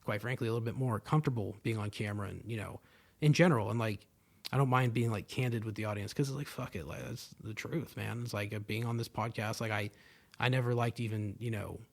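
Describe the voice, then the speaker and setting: A man's voice: fairly low pitch, fairly even intonation, quick pace, creaky. A young man hosting his own podcast, talking in a loose, rambling monologue full of fillers and swearing, into a podcast microphone. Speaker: podcast host. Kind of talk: casual solo podcast monologue.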